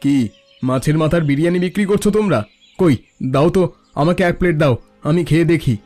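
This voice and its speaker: A friendly young city fellow in a cartoon, voiced by a man, fairly high in pitch. He talks with easy confidence. There is music behind him. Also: brisk, a little mellow, lightly acted. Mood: curious. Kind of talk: Bengali cartoon voice acting.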